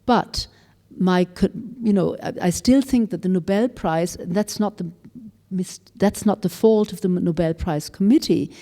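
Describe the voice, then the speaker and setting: A woman moderating a panel discussion, speaking in a measured, thoughtful way. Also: on the low side, dark tone. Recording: reverberant lecture hall.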